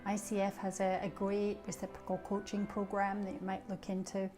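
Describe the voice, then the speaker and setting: A woman's voice: mid-range voice, completely flat delivery, normal speed, warm tone, faint music behind. A middle-aged Scottish woman, a coach, introducing herself and sharing coaching tips in a reflective way with fillers. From Scotland. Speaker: coach, association treasurer. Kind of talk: self-introduction and coaching talk.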